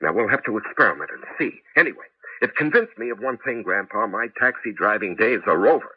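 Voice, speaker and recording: Excitable cabbie-inventor, radio play. Animated, emphatic, halting; muffled broadcast sound. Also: male voice, lowish voice, husky. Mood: earnest, intent. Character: excitable male taxi driver and amateur inventor. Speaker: radio drama actor. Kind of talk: 1980s radio drama acting. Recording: dull, noisy old radio broadcast.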